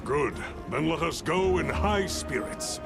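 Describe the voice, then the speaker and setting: An actor playing a commanding, vigilant leader of monster hunters in a video game, giving clipped, authoritative orders. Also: male voice, a little high, expressive, slow, vocal fry, heavily acted. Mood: stern, composed. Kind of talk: dramatic game voice acting. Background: loud music.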